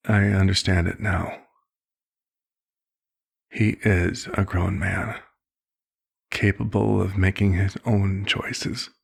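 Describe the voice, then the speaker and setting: Male actor as fatherly vampire doctor for ASMR roleplay: low-pitched, gravelly, measured and formal, concerned therapist temperament. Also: exaggerated voice. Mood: remorseful, reflective.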